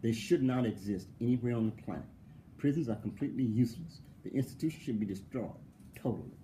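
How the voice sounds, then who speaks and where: A man's voice: mid-range voice, quick pace, mellow, a little hiss or hum, rough recording. A male philosophy professor answering an interviewer in a conversational way. He asks mocking rhetorical questions, laughs often and repeats points for stress.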